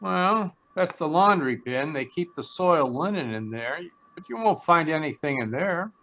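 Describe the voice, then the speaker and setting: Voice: mid-range voice, lively intonation, moderate pace, a bit bright, lo-fi sound. An actor playing a brash young elevator operator with casual, dismissive talk, in a radio play over video call.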